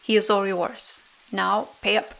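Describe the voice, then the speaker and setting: A woman's voice: mid-range voice, level tone, unhurried, gravelly, pushed and bright. A woman voicing a sly male coachman in a bedtime story, coaxing and curt. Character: sly male coachman luring and selling boys. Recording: rain falling behind the voice.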